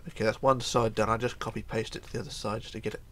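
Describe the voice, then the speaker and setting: A man's voice: lowish voice, little pitch movement, rapid-fire, fairly bright tone, slight background noise. A middle-aged man with a cold talks through a casual game build tutorial at his home computer desk, chatting and counting blocks aloud, often mumbling as he goes. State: hoarse with a cold. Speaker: tutorial host.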